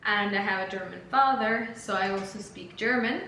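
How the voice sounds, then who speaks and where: A woman's voice: mid-range voice, normal speed, bright, forceful tone. A young woman from Italy, a native Italian speaker, chatting casually on a podcast with light, giggly teasing. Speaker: podcast co-host, interviewed guest. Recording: echoey room with faint background hum.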